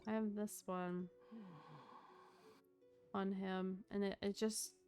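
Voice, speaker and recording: A woman's voice: medium pitch, level tone, slow, a little mellow. A woman game streamer talking casually and informally to her viewers while she plays. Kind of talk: casual livestream chat while gaming. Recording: game music behind the voice. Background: game music.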